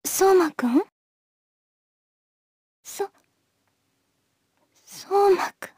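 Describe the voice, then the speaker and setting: A sweet, caring teenage girl from a video game. Her high voice is soft and gentle, recorded close and dry. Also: animated, very slow, no room sound, heavily acted. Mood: gentle concern.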